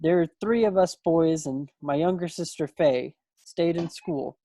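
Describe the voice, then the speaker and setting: A man's voice: fairly high pitch, measured pace, warm tone, no room sound. A man playing a playful, folksy young small-town suitor from the 1940s in a play reading over a video call. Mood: chatty, earnest. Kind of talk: stage play table read. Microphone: computer microphone on a video call.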